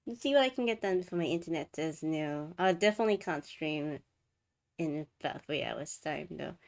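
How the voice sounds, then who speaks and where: A woman's voice: sits low, animated, normal speed, a little mellow. A woman livestreamer chatting casually to viewers as she hosts a game stream. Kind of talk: casual live stream chat. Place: live game stream from an indoor setup. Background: game music.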